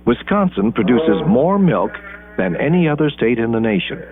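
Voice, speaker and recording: A man with an American accent narrating an educational documentary in measured, deliberate phrases with dramatic pauses. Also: medium pitch, sing-song. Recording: muffled band-limited 1980s film soundtrack. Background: background music.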